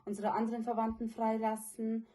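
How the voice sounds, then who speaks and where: An eighteen-year-old woman from China who has lived in Germany since childhood, giving an unscripted personal testimony in an earnest, halting, informal way. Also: fairly high pitch, monotone, warm tone. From from China, living in Germany since childhood. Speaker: witness giving testimony about a detained relative.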